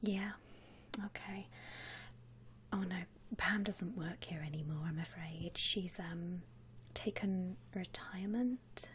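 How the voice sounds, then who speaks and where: A woman playing a friendly, attentive saleswoman in a whispered ASMR roleplay, speaking softly in soothing customer-service patter with endearments. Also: fairly low pitch, takes it slowly, slight background noise. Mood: polite, attentive. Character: friendly attentive saleswoman at a vampire coffin shop. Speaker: ASMR performer.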